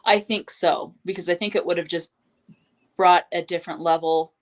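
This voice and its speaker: A woman's voice: lowish voice, steady tone, normal speed. A woman co-hosting a casual book discussion, speaking in a tentative, reflective way. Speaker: co-host.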